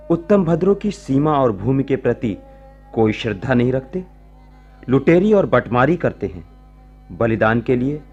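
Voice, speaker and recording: An actor playing an earnest, gentle monk disciple who speaks poetically in a radio-drama style, lingering on phrases. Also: male voice, mid-range voice, dull audio. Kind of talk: Hindi radio drama acting. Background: faint music.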